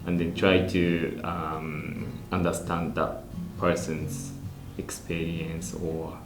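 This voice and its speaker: A man with a Japanese accent hosts a relaxed interview in a low voice, pausing often and leaning on fillers. The room is echoey and guitar music plays behind him. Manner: hesitant, many fillers. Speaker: host. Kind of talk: casual interview chat.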